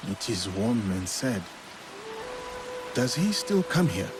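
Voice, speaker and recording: A man's voice: lowish voice, very animated, slow, music in the background. A man playing a solemn, courteous warrior in a video game, speaking in a formal, restrained way. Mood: curious. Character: solemn, courteous male warrior seeking answers. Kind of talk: dramatic video game cutscene acting.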